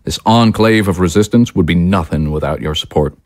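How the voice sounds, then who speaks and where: An actor playing an alarmist, self-important political talk-show host in an audio drama, grave and emphatic. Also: male voice, low voice, fairly quick, a little mellow, no echo, clean studio sound. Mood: solemn, grateful. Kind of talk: broadcast monologue in audio drama.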